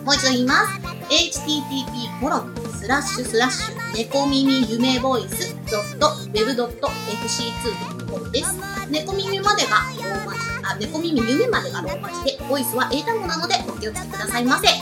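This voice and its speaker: A woman's voice: sits high, animated, fast talker. A woman hosting an amateur web radio show, moving between casual banter and polite announcements. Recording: music behind the voice.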